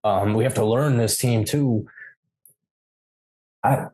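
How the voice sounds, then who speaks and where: A man's voice: fairly low pitch, slow, warm tone. A man in his twenties, a podcast guest, chatting casually and rambling through his points with lots of "like" fillers. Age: 20s. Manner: rambling, leans heavily on "like" fillers. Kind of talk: casual chat.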